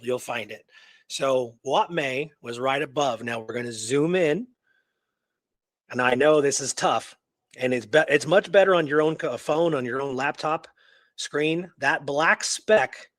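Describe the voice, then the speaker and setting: A man's voice: mid-range voice, a bit bright, dry recording. A man hosting a talk show, telling a historical story in a casual, colloquial, earnest and engrossed way. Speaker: radio show host telling a true story.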